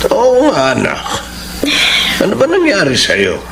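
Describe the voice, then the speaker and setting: An actor playing a gentle, caring middle-aged father who gives advice in a Filipino radio drama, with a Filipino accent. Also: high-pitched, huge pitch swings, measured pace, vocal fry, echoey room, background noise, heavily acted. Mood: concerned.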